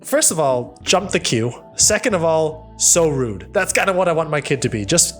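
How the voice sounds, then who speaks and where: A man in his thirties hosting a casual solo podcast, telling a rambling story and laughing along, on a studio boom mic. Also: normal speed, soft background music. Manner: animated, rambling, laughs at his own stories. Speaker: podcast host. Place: home studio with wooden wall. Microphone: studio mic on boom arm, headphones.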